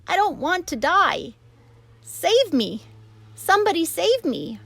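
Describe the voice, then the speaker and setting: A woman voicing a frightened young male pig who wails and pleads in a high, loud voice while reading a storybook aloud. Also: very animated, normal speed, dry recording, full character voice. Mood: panicked. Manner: shrill, sobbing pleas.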